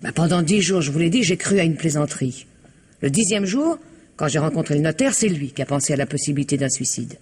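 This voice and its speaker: A husky-voiced, guarded wife. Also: female voice, deep voice, expressive, fast talker. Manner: guarded, emotional, sometimes dropping to a hush. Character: reticent woman whose husband vanished, proud and strained. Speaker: wife of a missing man. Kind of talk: 1960s French radio drama acting.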